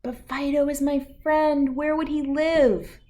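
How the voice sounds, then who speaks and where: Woman voicing an eager, high-pitched little storybook girl. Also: fairly even intonation, slow pace, dark tone. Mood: pleading. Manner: eager, insistent. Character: earnest, devoted little girl who loves her tree.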